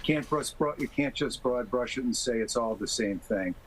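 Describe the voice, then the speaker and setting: A man speaking as an expert guest in a TV interview, explaining things in a measured, careful way. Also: mid-range voice, fairly bright tone, slight background noise, rough recording. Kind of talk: live TV interview.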